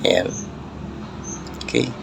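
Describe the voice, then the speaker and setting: A Filipino man giving a casual, hands-on tech demonstration in a halting, informal, explanatory way. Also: noisy background. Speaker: vlogger, radio hobbyist.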